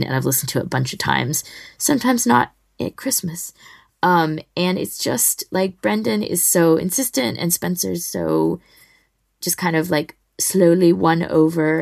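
Very creaky, low-pitched woman chatting casually. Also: no echo, studio quality. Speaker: podcast co-host. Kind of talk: casual chat, giving recommendations.

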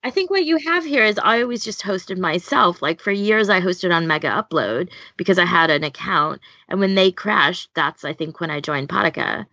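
A woman's voice: lowish voice, expressive, normal speed, hardly any pauses, sharp tone, no room sound. A woman chatting casually as a podcast host, candid and self-deprecating, on a slightly muffled recording. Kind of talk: casual group chat.

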